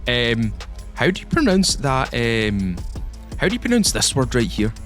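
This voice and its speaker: A young Scottish man talks through a game update in a loose, rambling way, repeating himself as his excitement builds. His voice sits in an ordinary middle range. Soft background music plays under him. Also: mid-range voice, unhurried. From Scotland. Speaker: gaming YouTuber. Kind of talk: casual game update commentary.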